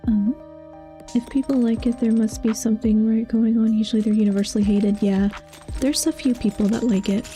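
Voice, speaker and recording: A young woman streaming a game and chatting casually about her day, confiding and laughing often, a little hoarse as she starts losing her voice. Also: on the high side, fairly even intonation, fairly quick, dark tone, soft background music. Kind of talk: casual chat while playing a game.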